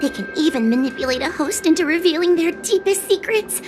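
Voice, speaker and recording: A woman's voice: extremely high pitch, expressive, brisk. A woman voicing a timid, anxious young girl in an anime game, hesitant and stammering but eager to please. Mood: hesitant, worried. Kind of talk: anime game dialogue acting. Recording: music behind the voice.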